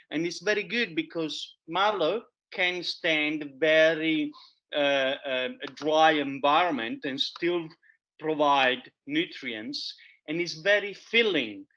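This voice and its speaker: A man with a bright, fairly high voice talks eagerly about edible weeds. His phrasing is loose and chatty, and he often circles back with little repeated catchphrases. The recording sounds dull and lo-fi. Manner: enthusiastic, chatty, repeats "there you have it"; loose non-native phrasing with many fillers. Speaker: foraging educator. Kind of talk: casual show-and-tell talk.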